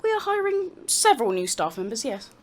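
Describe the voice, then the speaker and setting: An actress playing a brisk university vice-chancellor in an audio drama. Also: female voice, on the high side, big pitch swings. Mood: dry, dismissive. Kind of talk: scripted audio drama dialogue.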